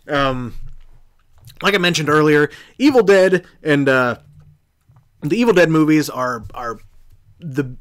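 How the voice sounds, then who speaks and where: A man's voice: fairly high pitch, lively intonation, slow, long pauses, fairly bright tone. A man from southeastern Indiana with an American accent hosts a podcast, telling stories casually in a rambling way and often backtracking as he goes. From southeastern Indiana, United States. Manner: rambling, backtracking, loses his thread. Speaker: podcast host. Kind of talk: casual storytelling on a horror podcast.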